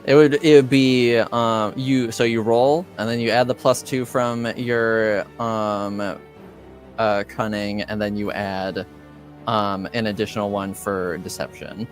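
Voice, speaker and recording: A man running a tabletop roleplaying game casually as game master, halting and often searching for words. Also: fairly low pitch, steady tone, soft background music.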